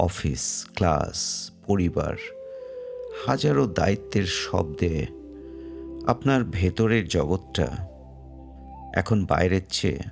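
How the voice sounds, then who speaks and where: A man narrating a guided meditation in a measured way, pausing between short phrases. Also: low-pitched, vocal fry. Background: faint music.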